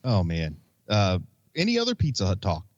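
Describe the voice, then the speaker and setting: Lively American man in casual podcast chat. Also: lowish voice, normal speed, dry recording. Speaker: podcast co-host. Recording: slightly dull, lo-fi podcast audio.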